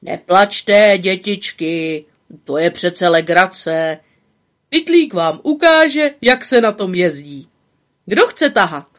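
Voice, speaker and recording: Bossy, show-off little male bug from a storybook, swinging pitch; woman reader doing it. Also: animated, a bit bright. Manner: brash, teasing, showy.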